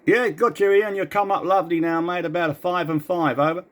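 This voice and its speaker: A man's voice: high voice, fairly even intonation, brisk, slight background noise. A man having a casual radio chat as a CB operator.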